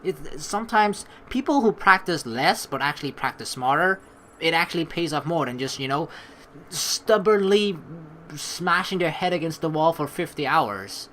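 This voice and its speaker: A let's play host giving rambling, excitable commentary on a story game and reading its text aloud. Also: medium pitch, normal speed, slight background noise. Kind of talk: game playthrough commentary with dramatic read-aloud. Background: game music.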